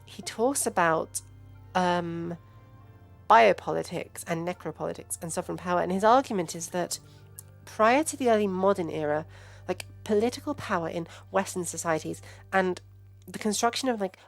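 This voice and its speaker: A woman giving informal, rambling academic commentary as a livestream host, halting and digressing as she thinks aloud. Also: on the low side. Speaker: host and scholar giving a live reading and commentary. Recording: music playing behind the voice. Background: background music.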